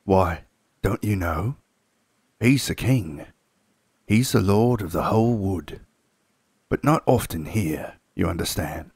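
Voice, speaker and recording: A man voicing a grave, homely male beaver in a storybook, with a husky voice and an unhurried way of talking. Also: deep voice, animated, a little mellow, no room sound, heavily acted.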